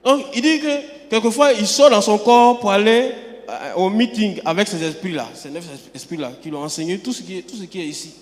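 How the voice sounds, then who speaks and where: Male preacher, high-pitched and emphatic, restarts phrases mid-sentence; recorded with a long hall echo. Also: a little fast. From Côte d'Ivoire. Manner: emphatic, repeats words and restarts often. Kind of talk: sermon. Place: church sanctuary.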